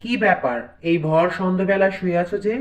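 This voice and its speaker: Man playing a domineering husband, slightly distant, higher-pitched. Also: fairly even intonation, fast talker, close to an everyday voice. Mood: stern, irritated. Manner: stern, irritated, commanding. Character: domineering, scolding young husband. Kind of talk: Bengali cartoon acting.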